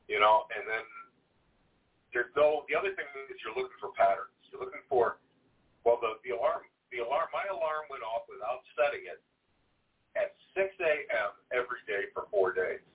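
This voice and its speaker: A middle-aged man working as a paranormal investigator. He has a loud voice and talks in a rambling way, full of "you know" and "I mean". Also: mid-range voice, lively intonation, moderate pace. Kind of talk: conversational interview. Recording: phone-like band-limited audio.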